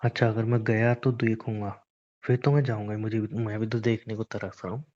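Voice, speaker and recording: Guy playing young boyfriend arguing on a call, stubborn n coaxing, soft mellow tone, dull lofi audio. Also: lowish voice, flat delivery, a little fast, close to an everyday voice. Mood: teasing. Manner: argumentative, coaxing, exasperated. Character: stubborn, teasing young boyfriend defending his boys' trip. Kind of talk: romantic phone-call roleplay, casual Hindi chat. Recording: dull lo-fi phone call recording.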